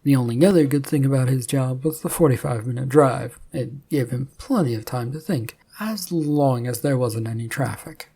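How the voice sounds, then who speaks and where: A female storyteller reads scripted fiction. Her voice is extremely low and mellow, with a husky grain. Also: moderate pace, hardly any pauses. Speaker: narrator and storyteller.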